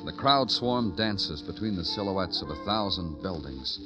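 A very low male voice as a stern, hardboiled big-city cop in a vintage radio crime drama. He is grave and world-weary, with dramatic, expressive rises and falls and music in the recording. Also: measured pace. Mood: brooding, poetic. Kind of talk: 1940s-50s radio noir drama acting and narration.

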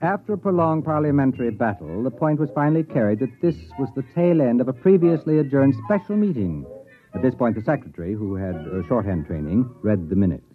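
A man's voice: medium pitch, lively intonation, fairly quick, lightly acted. A man acting as a wry, worldly university administrator who narrates his own story in a mid-century radio drama style. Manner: wry, sardonic. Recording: thin phone-like vintage radio recording, faint music.

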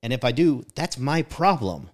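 A man with a mid-range voice, neither high nor low, talks through his views in a casual podcast chat. He is reflective and emphatic, often using "like" and tagging his points with "right?". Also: sing-song, normal speed.

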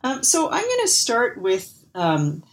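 A woman hosting a book interview podcast, reading a scripted introduction, then asking measured questions with some hesitation. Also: fairly high pitch, huge pitch swings. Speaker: podcast host.

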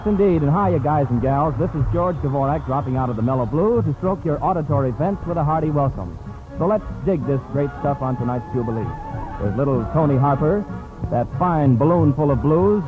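A man's voice: fairly high pitch, lively intonation, a little fast. Old thin radio sound, faint music, American man, 1940s jive announcer, playful hipster patter. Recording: narrow-band vintage radio recording, music behind.

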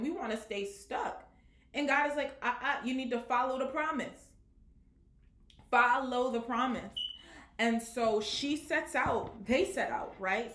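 A woman's voice: on the high side, a bit bright. Woman preaching in an echoey room. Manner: rhetorical questions with repeated "right?". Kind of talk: sermon on singleness.

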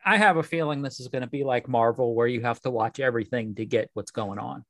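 Man, medium-pitched voice, casual podcast co-host, tentative conversational delivery, very dry recording. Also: fast, dry recording. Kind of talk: casual chat about films.

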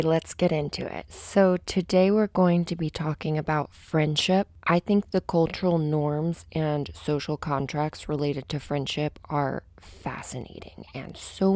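Soft-spoken crackly woman in a closet. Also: no room sound. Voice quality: naturally crackly voice. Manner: soft, low, hushed delivery kept quiet to limit the crackle. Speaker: podcast host. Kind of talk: reflective solo podcast monologue. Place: small closet padded with pillows. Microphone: studio microphone.

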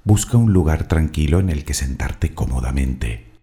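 A man leading a guided meditation, speaking soothingly and deliberately in short phrases of instruction and affirmation. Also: extremely low pitch, lively intonation, mellow.

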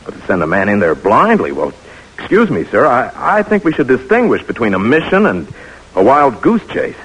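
An actor playing a skeptical, worried army captain, hesitant yet emphatic and deferential, in an old-time radio drama. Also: male voice, mid-range voice, huge pitch swings, normal speed, a bit bright, husky, heavily acted, low-quality recording. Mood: skeptical, protesting.

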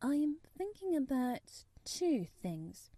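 A woman's voice: high-pitched, sing-song, slow pace, warm tone, no room sound, voice pushed up, heavily acted. A woman voicing a frank, self-willed young girl who speaks earnestly and candidly in a storybook reading.

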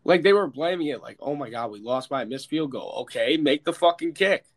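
An American man hosting a casual, sweary sports chat, opinionated and emphatic, with a stuffed-up, slightly hoarse voice. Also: on the high side, expressive, a little fast. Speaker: sports podcast host. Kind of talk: casual, profane football chat.

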